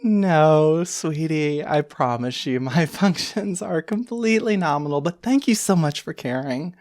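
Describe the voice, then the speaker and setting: A woman voicing an older lady computer program in a tabletop game, polite and apologetic, with gentle endearments. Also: deep voice, expressive, unhurried, no echo, studio quality. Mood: sweetly insistent. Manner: courteous, hesitant, endearments like sweetie and dear.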